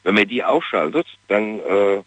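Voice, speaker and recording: A man's voice: lowish voice, animated, a little slow, no echo. Dialect-speaking male caller over a dull phone line. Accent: regional German dialect. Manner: enthusiastic, many "äh" fillers and "ja?" tags.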